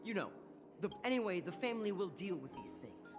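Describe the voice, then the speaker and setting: A man's voice: high-pitched, very animated, a little fast. A man voicing a polite, officious hotel staffer in a video game, formal and courteous, hesitant when pressed. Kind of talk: video game character dialogue. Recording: game audio with background music.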